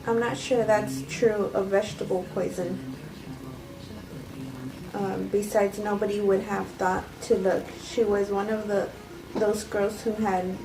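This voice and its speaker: A woman's voice: mid-range voice, little pitch movement, lightly acted. Woman reading a coolly self-justifying poisoner, echoey room. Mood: defensive. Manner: halting, stumbling amateur reading. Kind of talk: cold table read of a script. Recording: echoey room, distant mic, noisy background.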